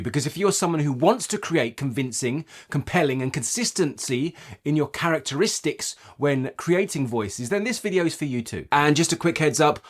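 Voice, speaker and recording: A man presenting an instructional tutorial as a voice coach, explaining in an expressive, emphatic way. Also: mid-range voice.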